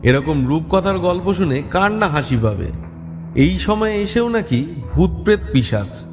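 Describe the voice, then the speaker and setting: Male horror story narrator: slightly high voice, scripted dramatic storytelling, reverberant sound. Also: sing-song, unhurried, music in the background. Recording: long reverb.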